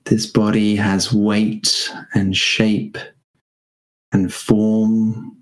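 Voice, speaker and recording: A man with a low voice leads a guided meditation at a very slow pace. His delivery is gentle and spacious, with short phrases set apart by long pauses. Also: completely flat delivery, low-quality recording.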